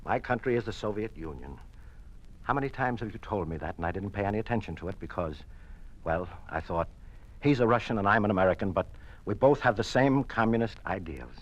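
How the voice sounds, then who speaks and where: A conflicted American politician in a golden-age radio drama. His low voice carries earnest conviction with a halting, declamatory delivery, as a party man turning against his masters. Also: expressive, highs cut off. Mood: bitter realization. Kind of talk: old-time radio drama acting.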